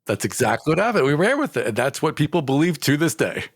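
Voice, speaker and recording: Animated, blunt male podcast co-host. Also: on the high side, fast, husky, no room sound. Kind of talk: casual chat.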